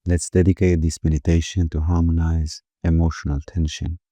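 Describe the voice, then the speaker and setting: Very low, mellow male meditation guide. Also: flat delivery, no echo. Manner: slow, gentle, soothing delivery with pauses.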